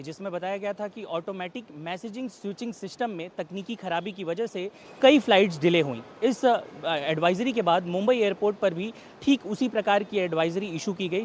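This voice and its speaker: Male on-scene correspondent, high register, wordy and repetitive reporting, slight urban background noise. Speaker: field news reporter. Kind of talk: live-style on-location reporting. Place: outdoors at an airport. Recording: faint outdoor traffic noise.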